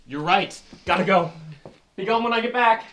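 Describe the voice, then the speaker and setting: A man's voice: sits high, normal speed, a bit bright. A man playing a flustered, harried young student writer in a comedy web series. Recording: echoey room.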